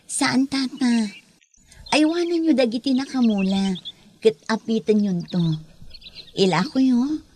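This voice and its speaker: A woman playing a kind, respectful young woman in an audio drama, speaking gently and politely. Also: on the high side, unhurried, long pauses, close to an everyday voice. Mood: reassuring. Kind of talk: amateur Ilocano audio drama acting. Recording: faint background noise, birdsong.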